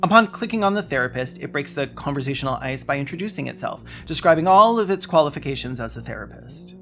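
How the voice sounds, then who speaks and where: A video essayist narrating a script with sarcastic, deadpan comic asides. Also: medium pitch, fast talker, a little hiss or hum. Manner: sarcastic, wry deadpan jokes.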